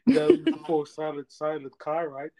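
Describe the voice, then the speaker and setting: A man hosting a podcast interview, lively and chatty, often repeating "amen" and filling his talk with restarts. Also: fairly high pitch, normal speed, vocal fry, crowd noise. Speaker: podcast host.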